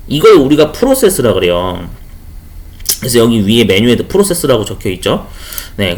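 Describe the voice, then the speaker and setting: Chatty man giving a lively lecture. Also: mid-range voice. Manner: chatty, frequent rhetorical "어?" checks and asides. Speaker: exam prep instructor. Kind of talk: casual screen-share lecture.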